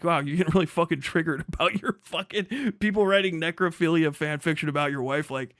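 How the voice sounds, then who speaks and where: A man's voice: fairly high pitch, a bit bright, dry recording, clean studio sound. A man hosting a livestream, ranting vehemently with heavy swearing as he reacts to and reads out messages. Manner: profane, agitated, vehement. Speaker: livestream host. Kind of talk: livestream reaction rant, reading messages aloud.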